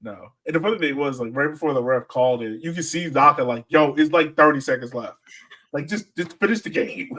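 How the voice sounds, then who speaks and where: A man's voice: a little high, brisk, a bit bright, far from the mic. A man co-hosting a sports podcast, chatting casually and telling stories in slangy, acted-out quotes. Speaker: podcast co-host.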